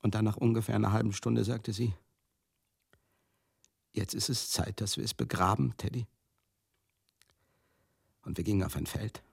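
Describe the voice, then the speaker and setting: A world-weary male companion in a radio play, with a low, husky, creaking voice recorded close and dry. He confides directly in the listener, halting and repeating himself, bitter and tender at once, often sinking into a breathy murmur. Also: steady tone, darkish tone, dry recording. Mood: tender, grieving. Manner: halting, repetitive, conversational, addressing listeners directly. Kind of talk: radio play monologue, intimate confessional storytelling.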